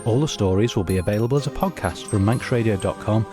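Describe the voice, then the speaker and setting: A man's voice: fairly low pitch, fast. A man working as a radio announcer, reading scripted introductions to a story programme. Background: jingly music.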